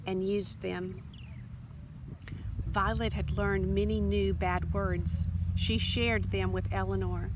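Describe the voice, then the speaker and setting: A woman reading a children's storybook aloud in an expressive, deliberate narration. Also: mid-range voice. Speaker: storybook reader. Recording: faint background noise.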